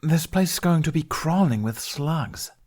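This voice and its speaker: A man's voice: a little high, expressive, a little slow, darkish tone, pitched above the performer's own voice. A man voicing a skeptical, complaining young male private in an audiobook. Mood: appalled hissing. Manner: incredulous, complaining. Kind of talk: audiobook character dialogue.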